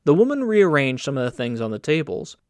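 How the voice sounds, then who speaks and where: Slightly high-voiced man narrating an audiobook. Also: sing-song, fairly quick, no room sound, studio quality. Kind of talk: scripted literary narration.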